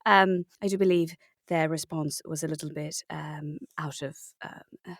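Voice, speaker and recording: A woman's voice: sits low, fairly even intonation, normal speed, no echo. Woman playing a haughty British method actress, dry sound. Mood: breezy indignation. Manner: polite euphemisms, hesitant, airily self-justifying. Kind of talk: improvised mockumentary interview.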